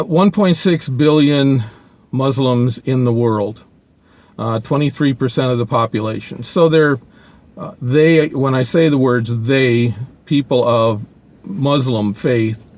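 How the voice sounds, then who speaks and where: A man's voice: mid-range voice, darkish tone. Older guy rambling his opinions to the camera, lots of uhs and restarts. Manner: halting, many fillers and restarts. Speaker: video blogger. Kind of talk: casual opinion monologue.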